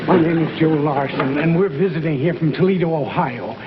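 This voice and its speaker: An actor playing an eager, oblivious, pushy American tourist and family man in a TV comedy sketch, excited and insistent. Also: a little high, brisk, lightly acted. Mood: polite, insistent. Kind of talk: 1960s TV comedy sketch acting. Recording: muffled 1960s TV soundtrack, loud audience, noise.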